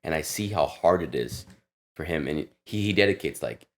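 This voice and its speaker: A man's voice: very deep voice, lively intonation, moderate pace. A young man talking earnestly as a podcast guest, restarting his sentences often. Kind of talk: casual interview chat.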